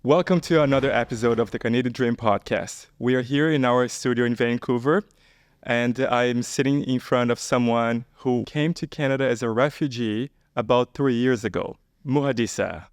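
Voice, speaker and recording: Male podcast host, mid-range voice, dry close studio mic, brisk friendly questions. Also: medium pitch, no echo. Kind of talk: podcast interview. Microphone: boom mic on stand.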